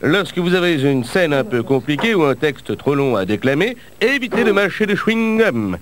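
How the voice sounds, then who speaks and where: Un homme qui commente un bêtisier sur un ton faussement sérieux et ironique. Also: male voice, fairly high pitch, big pitch swings, normal speed. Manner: mock-serious, ironic. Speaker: narrator of a blooper show. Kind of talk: jokey voice-over narration. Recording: loud background noise.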